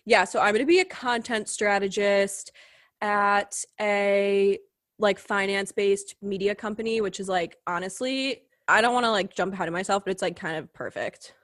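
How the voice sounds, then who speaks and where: A young woman co-hosting a podcast in casual chat, her voice a little raspy as she recovers from illness. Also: medium pitch, moderate pace, a bit bright, no echo, studio quality. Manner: chatty, upbeat, lots of "like". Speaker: podcast co-host.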